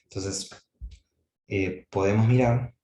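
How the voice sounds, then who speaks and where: A man's voice: lowish voice, steady tone, takes it slowly, warm tone, roomy sound, a little hiss or hum. A man giving an academic mathematics lecture, thinking aloud with frequent fillers and restarts.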